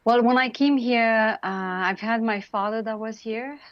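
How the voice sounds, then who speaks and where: A woman from Romania in her late forties with a Romanian accent, chatting as an interview guest, warm and full of fillers and laughs. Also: a little high, steady tone, moderate pace, dry recording, muffled recording. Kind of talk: casual interview talk.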